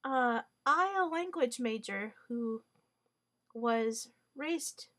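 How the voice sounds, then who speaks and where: A woman's voice: fairly high pitch, expressive, very slow, fairly bright tone. A woman whose first language is Hungarian, giving a wry, rambling monologue full of fillers, restarts and mock-formal wording. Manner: hesitant, many fillers and restarts.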